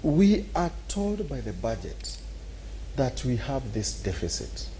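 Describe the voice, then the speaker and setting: A man's voice: medium pitch, big pitch swings, takes it slowly, dark tone, a little hiss or hum, poor audio. A man with a Kenyan accent, arguing animatedly on a talk show and acting out scenes with sound effects. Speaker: political commentator.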